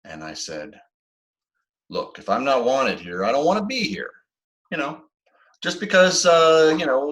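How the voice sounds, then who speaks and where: A man playing a defensive 55-year-old former sixth-grade teacher, mumbling and halting as he dodges questions. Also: on the high side, fairly bright tone, rough recording. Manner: mumbling, evasive, halting with many "uh"s. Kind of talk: improv comedy sketch.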